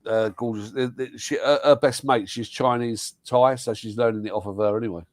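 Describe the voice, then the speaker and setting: Man hosting a casual live chat, middle pitch, rambling, dry sound, many restarts. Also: fairly even intonation, a little fast, no echo. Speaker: host of live panel chat. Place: home in Thailand.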